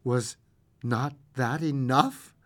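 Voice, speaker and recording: A storyteller voicing a weary, fearful old husband in a dramatic story reading, tender but aghast, with a halting stammer. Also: medium pitch, fairly bright tone. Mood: bewildered. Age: older.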